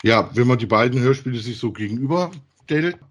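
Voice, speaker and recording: A man, chatting casually as a podcast co-host, thinking aloud haltingly and repeating himself. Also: medium pitch, expressive, husky. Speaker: podcast co-host reviewing audio dramas. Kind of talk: casual chat, reviewing audio plays.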